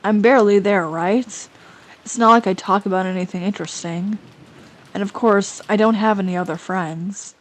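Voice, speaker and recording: A woman voicing a quiet, lonely schoolgirl who speaks softly and then pleads with urgency. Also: medium pitch, fairly even intonation, normal speed, natural voice. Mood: subdued. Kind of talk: amateur audio drama reading.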